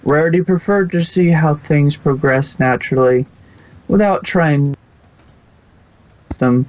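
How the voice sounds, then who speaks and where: A man's voice: fairly high pitch, takes it slowly, a little mellow, no echo. A man reading scripted story narration as an amateur narrator. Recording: dull, lo-fi home recording.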